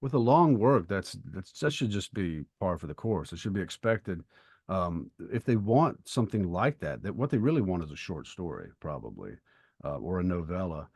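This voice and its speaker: A man co-hosting a podcast, explaining ideas in a thoughtful, halting way in casual discussion. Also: low-pitched, big pitch swings, a little mellow, slightly rough, no echo, clean studio sound. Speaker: podcast co-host and writer.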